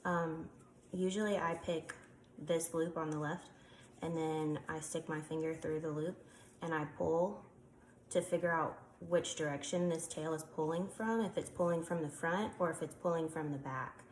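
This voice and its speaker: Congested woman with a lowish voice, talking through a hands-on demo with ums; echoey room, faint noise. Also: steady tone, normal speed. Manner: chatty, explains while working with hands, frequent ums. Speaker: craft tutorial maker. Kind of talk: casual step-by-step demonstration.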